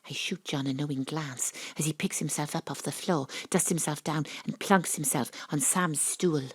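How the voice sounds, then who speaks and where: A woman acting in an audio drama as a shrewd, theatrical amateur detective who narrates and probes deliberately. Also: sits low, husky, dry recording. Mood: confiding. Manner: deliberate, probing, storytelling. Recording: dry, close home-studio recording.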